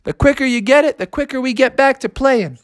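Someone doing high, insistent worried young friends. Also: fairly quick, no echo, studio quality, lightly acted. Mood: urging. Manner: pleading, insistent.

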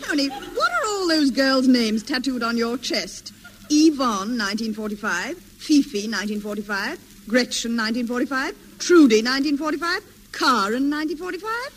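Nagging, teasing woman, lively swooping pitch, noisy vintage radio sitcom recording. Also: moderate pace. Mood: accusing. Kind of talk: 1950s British radio sitcom acting.

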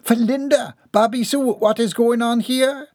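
A reader voicing a genteel lady hostess who speaks in a polite, proper, measured way. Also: mid-range voice, fairly even intonation, a little mellow, no echo, clean studio sound, voice pushed up, natural voice. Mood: flustered.

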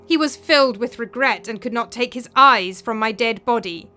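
Woman acting murdered wife's ghost, high-pitched, forceful, bitter, scornful, theatrical storybook delivery. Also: normal speed, faint music behind. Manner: imperious, accusing, theatrical.

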